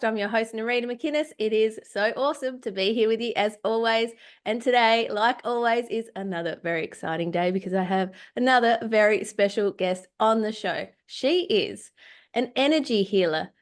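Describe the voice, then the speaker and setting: A woman's voice: fairly high pitch, moderate pace. A woman hosting a podcast, introducing her guest and asking questions in an enthusiastic, effusive tone. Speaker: podcast host.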